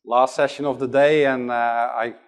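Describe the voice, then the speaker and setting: A man's voice: mid-range voice, level tone, moderate pace. A middle-aged man from the Netherlands with a Dutch accent, giving a conference talk and hesitating often with fillers. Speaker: conference presenter.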